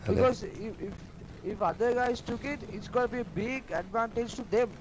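A man's voice: extremely high pitch, moderate pace, background noise. A man from Sri Lanka in casual voice chat while gaming, urgent and repeating himself. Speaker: gamer.

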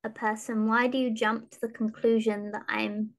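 A young woman with a high voice, chatting casually as a podcast guest. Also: level tone, normal speed, gravelly. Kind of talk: casual chat. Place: small room at home, video call.

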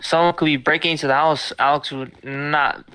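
A man's voice: fairly high pitch, steady tone, moderate pace, bright, forceful tone, clear, resonant tone. A man bantering casually with friends while gaming, exclaiming and swearing over voice chat. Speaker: video game player.

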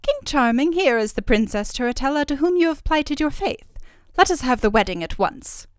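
A woman's voice: sits high, animated, moderate pace, no echo, clean studio sound, pitched above the performer's own voice. A woman voicing a scheming, angry fairy godmother who commands and scolds in a storybook tale. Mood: imperious.

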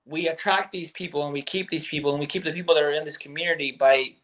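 A man's voice: a little high, steady tone, fast talker, fairly bright tone, poor audio. A man in his thirties, a guest business owner, talking bluntly and with lots of swearing in a candid chat over a video call from a bedroom at home. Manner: blunt, profane, emphatic.

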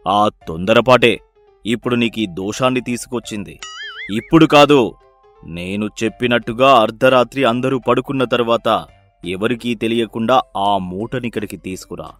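A man voicing a sly village holy man in an animated moral story, measured, solemn and admonishing. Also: medium pitch, soft background music, natural voice. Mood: commanding.

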